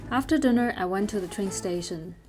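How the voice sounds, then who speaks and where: A woman's voice: mid-range voice, brisk, music in the background. Young Chinese woman travel vlogger. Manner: calm, explanatory, with frequent fillers. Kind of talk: travel vlog narration and on-camera talk. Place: train sleeper compartment.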